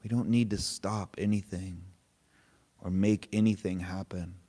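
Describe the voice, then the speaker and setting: A man leading a guided meditation, speaking in short, unhurried, gently informal phrases with pauses between them. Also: low-pitched, steady tone. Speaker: meditation teacher. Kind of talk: guided meditation, spoken softly to a group.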